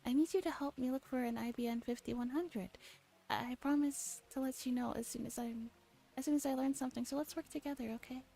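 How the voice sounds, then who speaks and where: A woman's voice: high-pitched, fairly even intonation, fairly quick, gravelly, dark tone. A woman voicing an anxious young friend's pleading, apologetic message from a visual novel.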